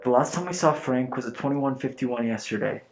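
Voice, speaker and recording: A man's voice: medium pitch, fairly quick, a little hiss or hum, natural voice. A young man playing a serious, no-nonsense leader of technicians, curt and stern. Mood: guarded.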